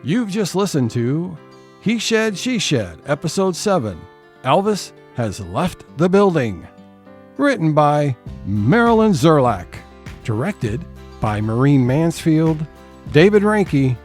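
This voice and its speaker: A man's voice: fairly high pitch, sing-song, takes it slowly, darkish tone. A man hosting an audio theatre program, reading scripted announcements and credits. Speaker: host and announcer. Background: music.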